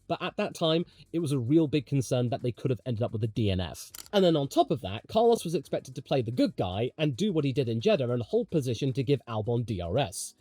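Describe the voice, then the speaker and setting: A man's voice: mid-range voice, darkish tone, no room sound. A man with a British accent giving opinionated, slangy motorsport commentary straight to his audience, with emphatic storytelling.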